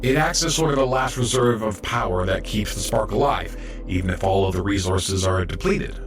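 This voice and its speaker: Young robot medic narrator, male actor: earnest, mild, slightly low pitch, audio drama with music underneath. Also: moderate pace, lightly acted. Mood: earnest, reflective. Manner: earnest, explanatory.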